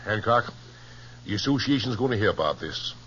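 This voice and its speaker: Folksy American cattle association boss, a fretful sort with a slightly low voice, 1950s radio western with dull sound. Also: male voice, lively intonation, fairly quick, fairly bright tone, slight background noise. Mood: decisive. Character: anxious, folksy cattlemen's association president in the Old West.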